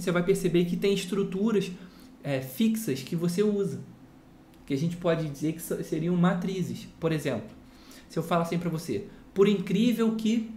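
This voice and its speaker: A man's voice: fairly high pitch, a little mellow, a little hiss or hum. A man from Brazil teaching guitar in a casual lesson, explaining with rhetorical questions and a lot of "look here" asides. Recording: roomy sound with guitar playing.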